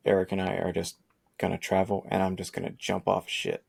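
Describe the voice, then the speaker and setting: A man in his forties with a low, gravelly voice, talking casually on a podcast. He rambles through long thoughts, circling back and restarting as he goes. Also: monotone, fairly quick, a little hiss or hum. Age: 40s. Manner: rambling, restarts often, laughs easily. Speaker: podcast co-host. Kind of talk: casual chat.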